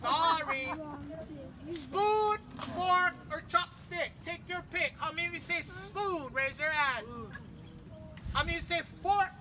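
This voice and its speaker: High lady running a beach memorial, teasing the crowd, then praying, cars clearly going by. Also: measured pace. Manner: playful quizzing, then prayerful. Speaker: memorial service leader. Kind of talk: family memorial address with audience games. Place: outdoors at a beach. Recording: outdoor ambience with clear traffic noise.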